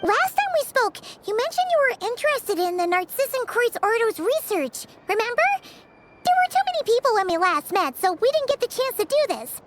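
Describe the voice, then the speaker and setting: Tiny squeaky, excitable fairy girl. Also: brisk, heavy background noise. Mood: chatty. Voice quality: squeaky, childlike. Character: small excitable childlike female fairy companion, cheeky and chatty. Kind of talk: video game character acting. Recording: music behind the voice.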